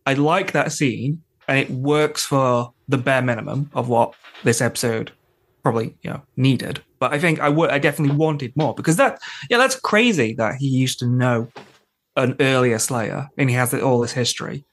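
Gravelly man chatting casually. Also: medium pitch, moderate pace. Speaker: podcast guest. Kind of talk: casual chat.

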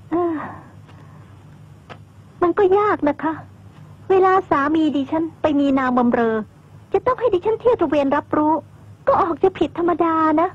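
A cool, mocking wife. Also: female voice, very high voice, animated, a little slow, long pauses. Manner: dry and amused, with breathy laughs. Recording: dull lo-fi sound.

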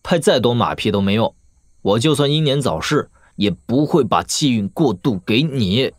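A man playing an assured young lord and master swordsman in a Chinese wuxia audio drama, measured, analytical and dryly witty. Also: mid-range voice, animated, no echo, clean studio sound, natural voice. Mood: wry, teasing.

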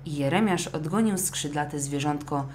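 A woman narrating a scripted children's audio story in an expressive, dramatic way. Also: deep voice, moderate pace, slight background noise.

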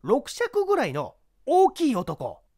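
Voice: high-pitched, huge pitch swings, slow pace, darkish tone, pitched above the performer's own voice, full character voice. A narrator acting a brash, raised-voice newspaperman. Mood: probing. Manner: blunt, rough colloquial speech. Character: brash, impatient male newspaperman in a mystery. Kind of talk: audiobook character acting.

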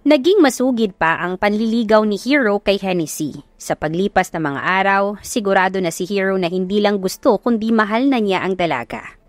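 A woman's voice: medium pitch, normal speed, no room sound. Female audiobook narrator with a Filipino accent, mid-range voice, reading a scripted love story, very little room sound.

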